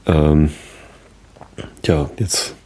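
A man in his thirties hosting a podcast, rambling softly and questioning himself before reading aloud to help listeners fall asleep. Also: very deep voice, steady tone, extremely slow, poor audio. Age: 30s. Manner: rambling, self-questioning, soft-spoken. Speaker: podcast host. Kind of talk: casual chat then sleepy reading aloud.

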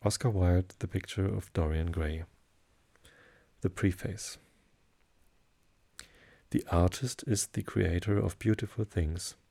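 A German-speaking man with a German accent, hosting a sleep podcast and reading aloud in a low, soothing, hesitant way. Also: creaky. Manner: hesitant, many fillers, slow calm delivery.

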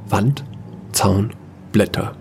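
Guy w a gravelly lowish voice reading a scifi fairy tale story, measured, bit of noise behind. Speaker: narrator. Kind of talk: scripted sci-fi fairy-tale audio story narration.

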